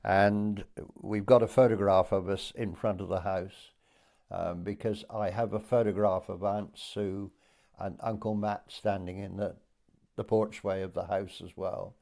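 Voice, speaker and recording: A man, reminiscing hesitantly with many pauses as he is interviewed. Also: lowish voice, steady tone, vocal fry, darkish tone. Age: late 80s. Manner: hesitant, pausing, unhurried recollection. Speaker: oral history interviewee. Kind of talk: reminiscing in conversation.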